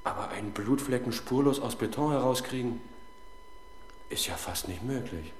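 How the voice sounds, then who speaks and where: A sober police sergeant, played by a man, on a faintly hissy old radio recording. He speaks at medium pitch in a restrained, cautious, matter-of-fact way. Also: animated, normal speed, close to an everyday voice. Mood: puzzled. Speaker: actor in a radio crime play. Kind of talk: 1970s German radio drama acting.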